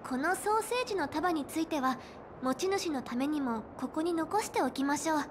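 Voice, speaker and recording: A woman's voice: sits high, animated, brisk, background noise. A woman voicing a polite, earnest, studious young female officer in a Japanese anime game, speaking formally and carefully. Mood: analytical, polite. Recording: clean studio voice over game music.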